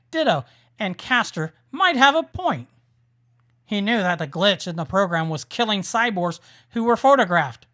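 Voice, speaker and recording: A woman's voice: medium pitch, fairly bright tone. A woman narrating a scripted audio drama as the storyteller.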